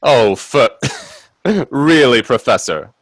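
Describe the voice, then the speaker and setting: A hesitant gentleman in a radio play, polite and deferential but full of disbelief. His voice swings up and down expressively as he stammers, and it is recorded close and dry. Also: medium pitch, expressive, slow, no room sound. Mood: skeptical. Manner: stammering, nervous chuckles, deferential. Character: hesitant, skeptical gentleman guest, nervously polite and incredulous. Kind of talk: radio play acting with narration.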